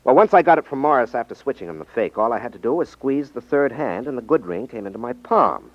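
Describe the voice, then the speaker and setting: An actor playing a confident, wry ex-magician turned detective in a 1950s radio drama, explaining things with self-assurance. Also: male voice, mid-range voice, very animated, fast talker, no echo. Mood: showing off. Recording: dull, dry 1950s radio recording.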